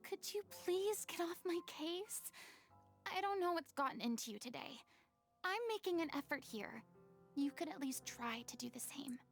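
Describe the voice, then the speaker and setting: A theatrical young former goddess worn down by long burdens. Her high voice is breathy and creaks at the edges, with soft game music underneath. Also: animated, normal speed. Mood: irritated. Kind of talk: video game drama acting.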